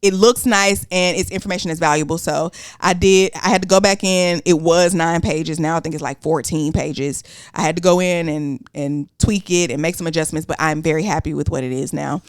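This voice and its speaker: A woman with a Southern US accent co-hosting a podcast, talking in an animated, slangy, cursing way. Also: low-pitched, a little fast, hardly any pauses, clean tone, no echo, studio quality. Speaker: podcast co-host. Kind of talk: casual chat.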